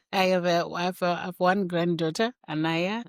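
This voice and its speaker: A woman from Zimbabwe, a grandmother and physician, telling her story in an interview, with thoughtful pauses and repeated words. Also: fairly low pitch, no echo, studio quality. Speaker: guest, infectious disease physician.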